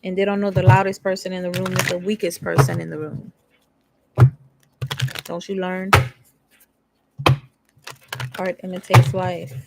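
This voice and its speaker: A woman's voice: fairly low pitch, level tone, a little slow, creaky, long pauses, very noisy. A young woman giving a casual, candid tarot reading, reading card text aloud and then riffing in slangy asides. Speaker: tarot reader.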